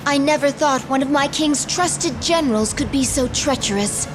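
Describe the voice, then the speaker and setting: Lady as a wounded earnest game princess, high solemn voice, lots of reverb. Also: normal speed, close to an everyday voice. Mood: grave defiance. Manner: solemn, restrained, wistful. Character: young warrior princess, dutiful, wounded, earnest daughter. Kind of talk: video game voice acting. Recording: long reverb, music behind.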